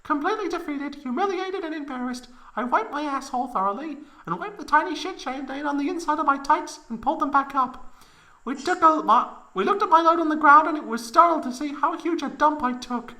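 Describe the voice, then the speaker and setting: A woman's voice: sits high, brisk, sharp tone. A woman playing a proud, melodramatic young woman recounting an embarrassing tale in a comedic fan fiction reading, overwrought and close to giggling. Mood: anguished, overwrought.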